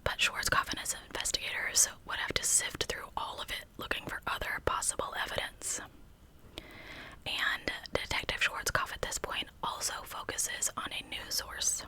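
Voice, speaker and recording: A woman's voice: pushed and bright, poor audio. A woman whispering a true crime story in ASMR style as a channel host, with conversational asides to listeners.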